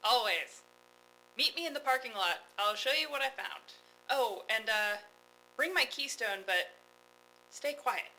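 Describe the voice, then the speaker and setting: A woman's voice: fairly high pitch, animated, a little slow, long pauses, bright, forceful tone, exaggerated voice, poor audio. A woman playing a scrappy, determined and protective young leader in an audio drama, casual, blunt and conspiratorial. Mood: upbeat, secretive.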